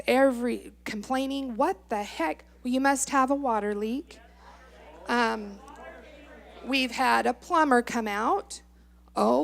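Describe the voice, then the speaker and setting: Fed up american mom-age woman at a public meeting, highish creaky voice, sarcastic, acts out the back and forth. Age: middle-aged. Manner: exasperated, sarcastic, acting out exchanges. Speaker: resident giving public comment. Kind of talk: informal personal testimony.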